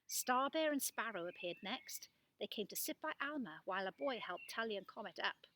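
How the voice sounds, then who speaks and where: A woman giving an expressive, animated reading of a children's story. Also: medium pitch, normal speed. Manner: animated delivery with acted-out dialogue. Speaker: storybook reader.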